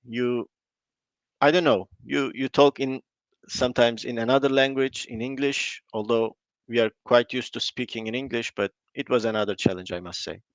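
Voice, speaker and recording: A man whose first language is not English speaks in short, halting phrases, explaining his points plainly. His voice sits in the middle range. The recording is dry and close, with no room sound. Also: mid-range voice, fairly even intonation, clean tone, no echo. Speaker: conference speaker. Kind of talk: conversational conference talk.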